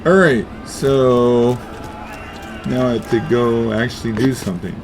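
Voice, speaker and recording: A man's voice: mid-range voice, steady tone, unhurried, noisy background. A man giving casual commentary as he plays through a video game. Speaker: gamer recording a playthrough. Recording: voice over loud game music. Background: video game music and effects.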